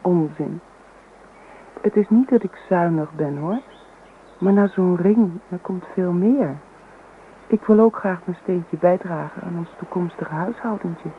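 A woman's voice: fairly low pitch, a little slow, lightly acted. A woman playing a bashful, earnest young girlfriend in a Dutch radio drama, hesitant and slightly prim. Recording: muffled radio recording, buzzy noise.

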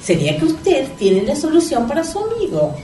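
Actriz haciendo de promotora argentina insistente, de entonación muy viva. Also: female voice, high voice, wildly swooping pitch, moderate pace, dark tone, soft background music. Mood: persuasive. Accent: Argentine, Rioplatense. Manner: persuasive, theatrical sales patter. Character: slick, insistent cosmetics promoter, bossy and mocking. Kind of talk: comic radio play acting. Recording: roomy, distant from the microphone.

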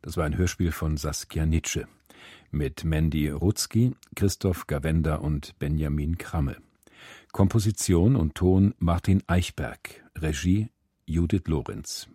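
A man's voice: rumbling low, unhurried, warm tone, breathy edge, no echo, studio quality. A man presenting on radio, reading a scripted announcement.

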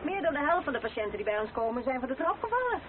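A young wife and nurse in a radio drama, with a high voice. She fusses and teases fondly, in natural domestic dialogue. The old recording is thin as a phone line and hissy with noise. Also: big pitch swings, rapid-fire. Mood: matter-of-fact. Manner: gently teasing, fussing, affectionate. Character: caring pregnant young wife who works as a nurse. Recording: tinny, noisy old radio play recording.

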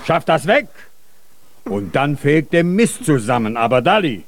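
A man's voice: on the high side, sing-song, unhurried. An actor playing a bossy, scornful, threatening foreman of fruit pickers in a German children's radio play. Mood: angry, threatening. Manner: gruff, commanding, threatening.

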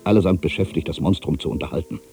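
An actor playing an irritable, brooding ageing writer, delivering a theatrical literary monologue for a radio play, moving between exclamations and hushed asides. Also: male voice, low-pitched, fast, creaky, soft background music. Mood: irritated, aggrieved. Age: older. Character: ageing writer, musing, irritable, suspicious, quietly superstitious.

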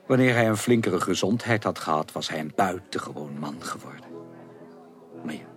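A courteous, deep-voiced gentleman, loud music. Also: animated. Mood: courteous, composed. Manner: polite, formal, measured phrasing. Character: courteous, composed, kindly gentleman traveller. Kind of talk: 1980s Dutch radio drama acting. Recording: radio drama with loud music behind.

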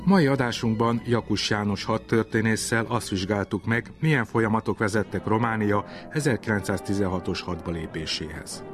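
A man with a fairly low voice presents and interviews on a formal radio history programme. Music is clearly heard in the recording. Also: hardly any pauses.

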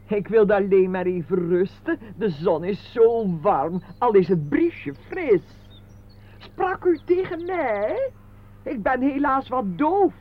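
Radio play, narrow phone-like sound: chatty old lady, hard of hearing, unhurried, with a very expressive melody. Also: medium pitch. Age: older. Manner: rambling, slow, reminiscing. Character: chatty, slightly deaf, kindly old lady.

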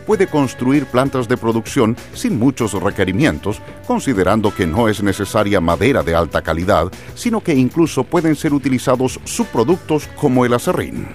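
A man narrates in scripted educational documentary style. His voice is fairly low with lively, varied intonation, and music plays behind it.

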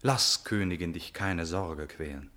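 An actor playing a proud, honourable young prince in a classical verse radio tragedy, with formal, noble declamation. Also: male voice, deep voice, measured pace, bright, forceful tone. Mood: restrained, magnanimous.

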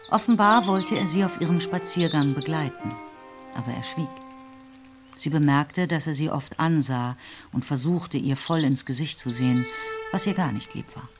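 A woman's voice: low-pitched, moderate pace, music in the background. A woman narrating a literary radio drama in scripted prose, with birdsong behind her.

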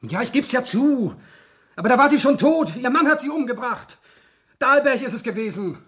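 An actor playing a cornered, guilt-ridden office clerk in a 1970s German radio crime drama, speaking in a halting, pleading manner. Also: male voice, extremely high pitch, animated, a bit bright, breathy edge, heavily acted. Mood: agitated, defensive.

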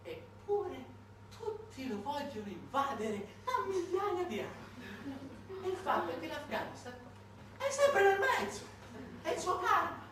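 A man delivering a satirical theatrical monologue in an emphatic, ironic, halting manner. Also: extremely high pitch, big pitch swings, sharp tone. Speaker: stage monologuist. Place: roomy indoor performance space, far from mic. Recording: distant, echoey, noisy live theatre recording.

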